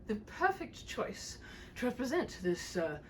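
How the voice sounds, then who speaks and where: A woman hosting a read-aloud, moving between playful chat and dramatic, animated storybook narration. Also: on the high side, measured pace, slight background noise, rough recording. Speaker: channel host reading a classic aloud. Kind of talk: chatty host talk and expressive storybook narration.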